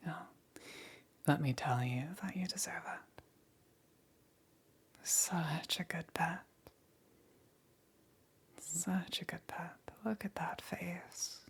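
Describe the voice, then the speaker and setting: Woman playing a breathy, whispering demon queen, very slow. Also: sits low, full character voice. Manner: slow, coaxing, condescending praise. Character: possessive, doting demon queen claiming a captive pet. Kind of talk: ASMR roleplay.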